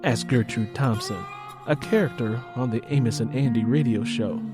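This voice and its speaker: A man's voice: medium pitch, moderate pace, loud music behind the voice. A man narrating a story as scripted audiobook prose.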